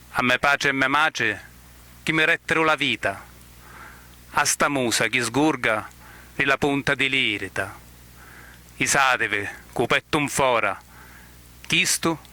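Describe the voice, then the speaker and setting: A man with a Sicilian accent reciting poetry in a declamatory manner, in measured phrases with pauses. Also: mid-range voice, pushed and bright. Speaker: poet.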